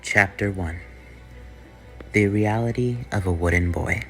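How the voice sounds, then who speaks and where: Slow, low-voiced man reading a story. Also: fairly bright tone, faint music behind, low-quality recording. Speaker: fan fiction reader. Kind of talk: reading a fan story aloud.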